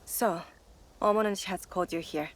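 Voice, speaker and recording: A woman as a solemn, low-voiced shrine priestess. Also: a little fast, lightly acted. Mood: cryptic, solemn. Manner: formal, cryptic, solemn. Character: solemn, devout female shrine priest, cryptic and reverent. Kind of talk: video game cutscene acting. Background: music.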